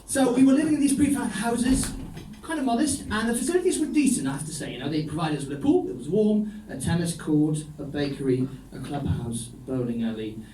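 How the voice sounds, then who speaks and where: Wry high-voiced comedian, echoey club. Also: male voice, brisk, hardly any pauses, slight background noise. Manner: dry, ironic storytelling with deadpan asides. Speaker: stand-up comedian. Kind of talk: stand-up set. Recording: echoey room, voice from a distance.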